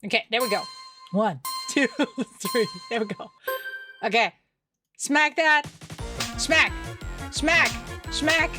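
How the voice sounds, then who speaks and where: High-voiced woman playing a game, music behind. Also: slow, pauses often. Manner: playful, expressive, exclaims and chatters to herself. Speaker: gaming video host. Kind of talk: let's play, reading game text aloud with reactions.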